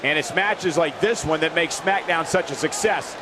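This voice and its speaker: High-pitched wrestling commentator played by a man, loud noise. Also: moderate pace, natural voice. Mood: excited. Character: excitable male TV wrestling commentator. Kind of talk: play-by-play sports commentary. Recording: very noisy wrestling arena.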